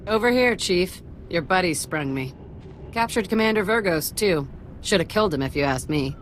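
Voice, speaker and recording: A woman's voice: on the low side, lively intonation, normal speed, slight background noise, lightly acted, low-quality recording. A woman playing a tough young Republic agent in a video game, brash, blunt and urgent. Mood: blunt, satisfied. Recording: music behind the voice.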